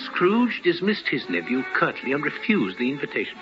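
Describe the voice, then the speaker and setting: A man tells a story in theatrical 1950s radio style, savoring the words. His voice is slightly high and animated, with music behind a muffled broadcast. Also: moderate pace. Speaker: narrator.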